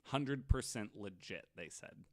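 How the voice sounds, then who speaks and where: A man's voice: lowish voice, animated, unhurried, a bit bright, dry recording, studio quality. A man hosting a podcast, telling stories casually among friends and acting out both sides of retold dialogue, with some swearing. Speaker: podcast host.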